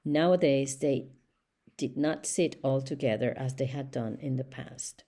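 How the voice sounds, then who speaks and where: A woman reading a storybook aloud as scripted narration, with measured, deliberate phrasing. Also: rumbling low, mellow. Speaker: audiobook reader.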